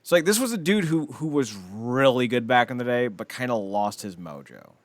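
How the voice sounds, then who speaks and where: A man's voice: mid-range voice, animated, normal speed, no echo. A man hosting a podcast in casual chat about films, rambling along with blunt, wry opinions. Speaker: podcast host.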